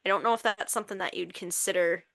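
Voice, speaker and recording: A woman's voice: on the low side, steady tone, fast, creaky, bright, forceful tone. A woman co-hosting a paranormal podcast who chats casually and tends to ramble and lose her words. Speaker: podcast co-host. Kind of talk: casual chat.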